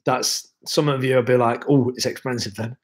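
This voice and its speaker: A young man hosts a relaxed livestream, rambling from one viewer comment to the next with plenty of ums and restarts. He is still ill and his voice is wearing thin. His pitch sits in an ordinary middle range. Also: normal speed. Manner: relaxed, rambling, chatty with many fillers. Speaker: livestream host. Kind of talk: casual livestream chat reading viewer comments.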